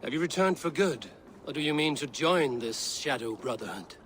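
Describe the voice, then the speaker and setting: A man's voice: medium pitch, lively intonation, moderate pace, fairly bright tone, a little hiss or hum, close to an everyday voice. A man playing a loyal Viking warrior. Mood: curious. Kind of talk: video game cutscene acting.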